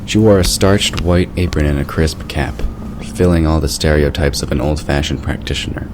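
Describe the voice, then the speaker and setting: A man's voice: rumbling low, fairly even intonation, normal speed. A man reading scripted story narration for an audio drama. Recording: clearly noisy background.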